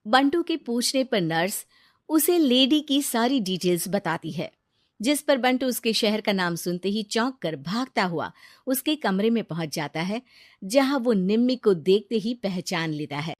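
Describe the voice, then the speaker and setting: A woman's voice: mid-range voice, lively intonation, a little slow, no echo, clean studio sound. A woman narrating a scripted moral story for a cartoon.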